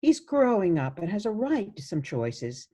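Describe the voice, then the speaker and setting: A woman's voice: mid-range voice, sing-song, normal speed, warm tone. A woman playing a sweet, doting elderly grandmother in a radio play, with a gushing, soothing manner. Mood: cheerful, soothing.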